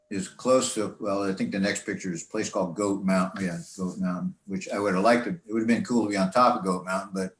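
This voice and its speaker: Deep-voiced older American man, echoey room. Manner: rambling, halting, conversational. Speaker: speaker presenting to a radio club. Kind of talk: informal slideshow talk, reminiscing. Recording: echoey, roomy sound.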